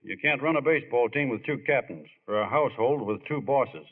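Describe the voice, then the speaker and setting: An actor playing a young bridegroom who speaks in a straightforward, firm and unpretentious way in a 1940s radio drama. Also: male voice, mid-range voice, brisk, lightly acted. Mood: firm, affectionate. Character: plain-spoken, decisive young bridegroom, famous ballplayer. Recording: thin, muffled old radio broadcast.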